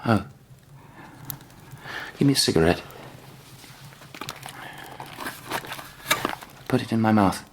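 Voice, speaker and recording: An actor with an English accent playing an intense, petulant upper-class student in a period radio drama, clipped and impatient. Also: male voice, lowish voice, expressive, normal speed, a little hiss or hum, natural voice. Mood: scornful, confiding.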